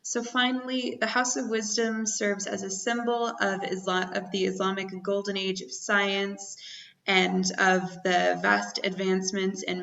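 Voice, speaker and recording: A woman's voice: mid-range voice, gravelly, fairly bright tone. A woman concluding her class presentation, reading her summary evenly over a video call. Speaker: student presenter. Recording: muffled, distant video-call audio.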